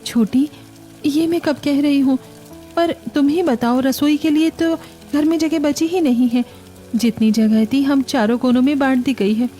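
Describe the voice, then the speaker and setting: Patient, mellow Indian daughter-in-law, voiced by a woman. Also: high-pitched, measured pace, faint music behind, pitched above the performer's own voice. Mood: patient, conciliatory. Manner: soothing, reassuring, practical. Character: patient, peacemaking eldest daughter-in-law of a village family.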